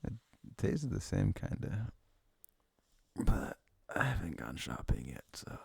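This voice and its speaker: A young man talking in a casual, unscripted, intimate ASMR style, rambling and halting with a lot of "like", his gravelly voice often sinking toward a whisper. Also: big pitch swings, no echo, studio quality. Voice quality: low, gravelly, breathy, often dropping near a whisper. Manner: rambling, halting, frequent "like", soft chuckles. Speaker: ASMR audio creator playing a comforting boyfriend.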